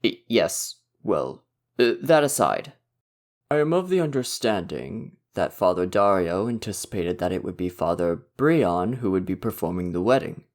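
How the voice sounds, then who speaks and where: A man plays a patient young prince in an audio drama. The voice is deep and low, the phrasing courteous and carefully measured, like a practised diplomat. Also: animated. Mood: diplomatic, reasoning. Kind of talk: audio roleplay acting.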